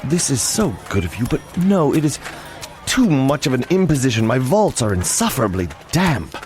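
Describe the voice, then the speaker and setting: Man acting a theatrical, scheming nobleman, swooping pitch. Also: sing-song, darkish tone, soft background music, lightly acted. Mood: coy, falsely reluctant. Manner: theatrical, measured, falsely deferential. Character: cunning vengeful nobleman, outwardly fawning, secretly scheming. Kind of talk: dramatic radio play narration and dialogue.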